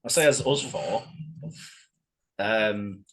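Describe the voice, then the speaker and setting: A man's voice: on the low side, slow pace, fairly bright tone, slight background noise, rough recording. A man in a band answering interview questions in an informal, laid-back way. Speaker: band member being interviewed. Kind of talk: casual interview chat.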